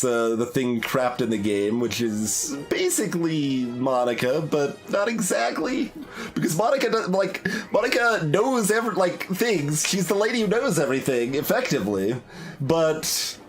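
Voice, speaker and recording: A man hosting a game playthrough, talking through his thoughts with many pauses and restarts. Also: a little high. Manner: thinking aloud, many fillers and restarts. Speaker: let's play host. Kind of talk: casual game commentary.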